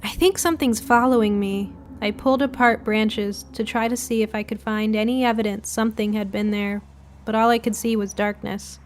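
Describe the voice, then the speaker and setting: A woman's voice: mid-range voice, flat delivery, normal speed, soft background music. A woman reading a scripted short story in the first person, as a storybook narrator.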